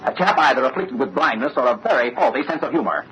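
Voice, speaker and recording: A man's voice: mid-range voice, lively intonation, quick pace, pushed and bright. An actor playing a polite, bewildered young man in an old-time radio drama. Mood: courteous. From United States. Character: polite, bewildered young American man visiting his fiancée's family. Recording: muffled, noisy old radio recording.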